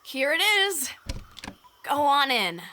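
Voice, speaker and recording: A woman's voice: high voice, big pitch swings, takes it slowly, pushed and bright, slight background noise, full character voice. A woman playing a cocky, playful flying boy who leads a band of children in an audio drama. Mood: bossy. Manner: boyish, breezy, bossy. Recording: music under the voice.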